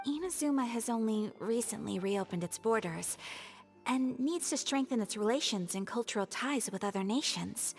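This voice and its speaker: Young game noblewoman, high voice, gracious, formal, gentle, reverberant, music underneath. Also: lively intonation, moderate pace. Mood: gracious, reassuring. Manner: polite, formal, reassuring. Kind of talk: video game voice acting.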